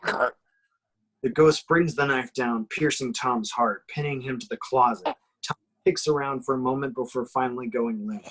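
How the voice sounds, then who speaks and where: A man narrates screenplay action in a home horror table read. His voice is husky and mid-pitched, and he reads the scripted directions scene by scene. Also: unhurried, a bit bright, rough recording.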